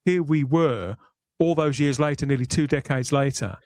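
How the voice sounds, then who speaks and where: A man hosting a podcast with conversational commentary, speaking in articulate, measured, storytelling phrases. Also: mid-range voice, a little mellow, dry recording, studio quality. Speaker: podcast host.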